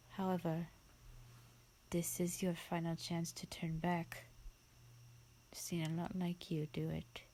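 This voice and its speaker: A haughty vampire thousands of years old, played by a woman, speaks in a low, breathy half-whisper. She drawls very slowly, bored and condescending, as in a theatrical roleplay audio. There is faint noise in the recording. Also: warm tone, poor audio. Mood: amused, menacing.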